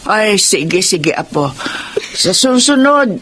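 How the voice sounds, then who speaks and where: Gentle elderly Filipino grandmother, soothing, drawn-out delivery, animated pitch, radio drama. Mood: apologetic, reassuring. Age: older.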